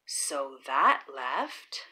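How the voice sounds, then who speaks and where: A woman reading a children's picture book aloud as the channel host, in a slow storytelling manner with drawn-out pauses before each number. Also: extremely low pitch, very animated, sharp tone. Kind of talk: read-aloud storytelling for kids.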